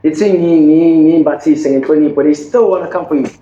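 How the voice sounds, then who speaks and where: A man's voice: fairly high pitch, a little fast, warm tone, slight background noise. A man with a South African accent hosting a podcast, talking earnestly with many restarts and bits of Zulu. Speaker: podcast host. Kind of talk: casual chat. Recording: dull echoey room sound.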